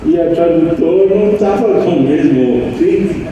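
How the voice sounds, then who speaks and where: An older man who learned Portuguese as an adult, a meditation teacher giving a slow, reflective anniversary speech to an audience, with frequent pauses and restarts. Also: a little high, animated, muffled recording. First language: not Portuguese. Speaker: meditation teacher and center leader. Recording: echoey room, distant mic, faint noise.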